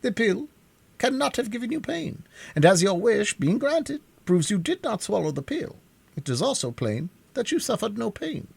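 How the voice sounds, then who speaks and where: A reader voicing a pompous, learned male insect in a storybook, lecturing in a drawn-out way. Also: mid-range voice, very animated, creaky, darkish tone, husky, no echo, voice pushed up, heavily acted.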